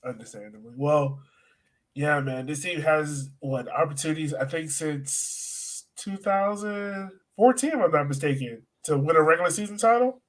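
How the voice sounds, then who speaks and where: Higher-pitched man hosting sports chat. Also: normal speed. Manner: informal, conversational, questioning. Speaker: podcast host. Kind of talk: casual sports podcast chat.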